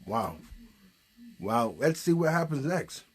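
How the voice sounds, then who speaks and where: A man's voice: mid-range voice, very animated, slow pace, slightly rough. Man, mid-range voice, puppeteer host, improvised storytelling, conversational, prompting with questions.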